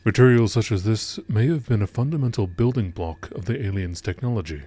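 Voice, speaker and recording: A man narrating scripted science-fiction lore entries in a measured, formal documentary style. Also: on the low side, dark tone, slightly rough.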